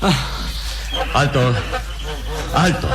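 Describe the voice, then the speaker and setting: An actor playing a calm, gallant, commanding adventure hero in a radio drama, measured and courteous. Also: male voice, mid-range voice, heavy background noise. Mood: commanding, concerned. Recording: radio drama with loud music.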